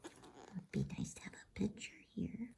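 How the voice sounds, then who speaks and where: A woman reads a storybook aloud as ASMR in a hushed, unhurried, breathy whisper meant to soothe. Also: mid-range voice, huge pitch swings. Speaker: reader of bedtime stories.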